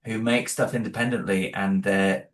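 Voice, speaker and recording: A man's voice: lowish voice, steady tone, moderate pace. A man, the creator of an audio drama, talking in a casual interview. He is modest and hesitant, with many restarts. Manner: modest, self-deprecating.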